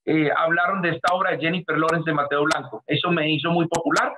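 A middle-aged man with a Colombian accent talks earnestly over a webcam from his home, his voice pitched high for a man. The call carries a clear layer of background noise and clicks. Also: steady tone, fast, lo-fi sound. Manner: earnest, effusive, speaks in long flowing sentences. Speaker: artist guest. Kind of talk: video call interview. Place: home room, indoors. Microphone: webcam on video call.

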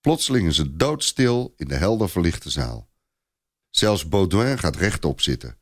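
A man's voice: sits low, sing-song, unhurried. A man narrating a scripted story in a dramatic style.

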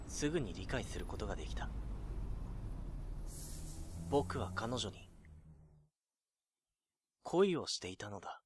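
A man's voice: mid-range voice, noisy background. A man playing a lonely nineteen-year-old student, narrating an introspective monologue in an audio drama. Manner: brooding, introspective.

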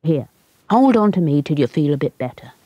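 A woman voicing a sensible, kindly young boy who talks calmly and reasonably. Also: low voice, fairly quick, no room sound. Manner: calm and reasonable.

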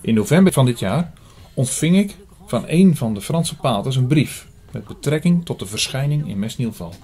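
A man playing an earnest priest in an audio drama, telling his story in a measured, formal way. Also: mid-range voice, lively intonation, dark tone, lightly acted. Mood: earnest, explaining. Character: earnest male priest investigating an apocalyptic prophecy. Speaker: narrator and lead actor. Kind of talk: scripted audio drama, first-person narration. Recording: music under the voice.

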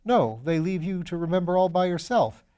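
Storybook role: man acting a muddled, tipsy young gent, exclamatory, pitched high. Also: animated, moderate pace, warm tone, clear, resonant tone. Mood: befuddled, excited. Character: flustered, slow-witted young English gentleman, mellow with drink.

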